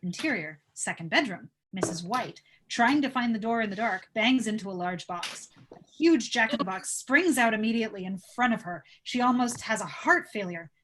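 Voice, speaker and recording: A woman's voice: fairly high pitch, animated, moderate pace, background noise. Woman reading screenplay stage directions. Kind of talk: scripted table-read narration.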